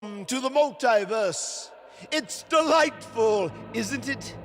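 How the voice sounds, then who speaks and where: A man's voice: extremely high pitch, animated, a bit bright, slight background noise, exaggerated voice. A man voicing an eccentric, grandiose mystic in a video game cutscene, theatrical and stately as if addressing the cosmos. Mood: mystical, grandiose. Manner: theatrical, stately, drawn-out delivery.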